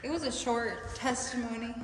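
High lively woman chiming in, far from the phone, echoey empty house. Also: moderate pace, crowd noise. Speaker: co-host, the host's wife. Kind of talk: casual chat.

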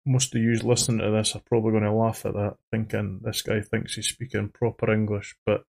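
Scottish guy from edinburgh talking into a mic, low gravelly voice, kinda monotone, trips over his words a lot. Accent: Edinburgh Scottish, toned down. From Scotland. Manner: halting, repeats words, says he sounds monotone. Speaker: trading channel host. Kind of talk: faceless voice-over monologue. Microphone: studio microphone.

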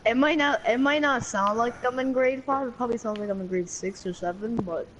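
A young boy in fifth grade chatting over game voice chat, excitable and giggly, often stumbling over his words. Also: very high voice, a little fast, a little hiss or hum, rough recording. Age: child. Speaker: online game player. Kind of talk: casual voice chat while gaming.

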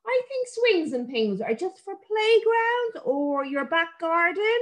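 A woman voicing a small, kindly male hedge sprite in a storybook, speaking gently and cautiously. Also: very high voice, big pitch swings, slow, voice pushed up, heavily acted.

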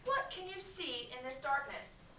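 A woman's voice: sits high, big pitch swings, sharp tone, exaggerated voice. A woman voicing a mocking, selfish cave boy with exclamatory, teasing delivery, from a storybook read aloud. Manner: mocking, exclamatory, drawn-out questions. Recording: echoey classroom, distant mic, faint noise.

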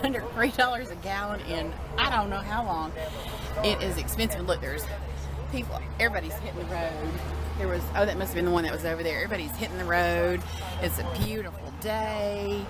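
A woman, chatting and laughing in a casual travel vlog, recorded on a phone held at arm's length inside a moving car. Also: on the low side. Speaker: vlogger. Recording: road noise from a moving car.

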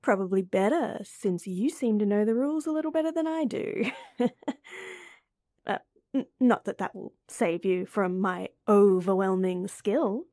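A woman's voice: mid-range voice, measured pace, warm tone, no room sound, clean studio sound, natural voice. A woman voicing a young woman with a crush on her childhood friend, teasing playfully and muttering whispered asides to herself. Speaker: voice actor. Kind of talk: romantic roleplay audio.

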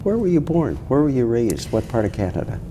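An older man opening and leading an interview in a measured, formal way. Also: mid-range voice, sing-song, background noise.

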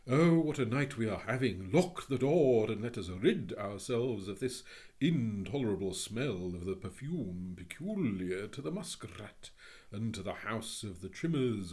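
A man voicing a clever, soft-spoken magical bird from a storybook, with grand, ornate phrasing and a pompous manner. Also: on the low side. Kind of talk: storybook reading.

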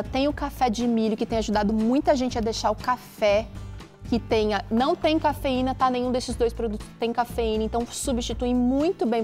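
A woman's voice: on the high side, a little mellow. A Brazilian woman in her thirties hosting a TV cooking show in a studio, chatty and enthusiastic as she speaks casually to viewers. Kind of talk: casual, promotional presenting to viewers. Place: TV kitchen studio.

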